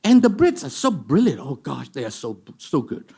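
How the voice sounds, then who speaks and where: Man, very lively swooping pitch, emphatic, rhetorical questions, panel talk. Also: normal speed, vocal fry, husky. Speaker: panel guest. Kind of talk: animated storytelling in a discussion. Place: event hall.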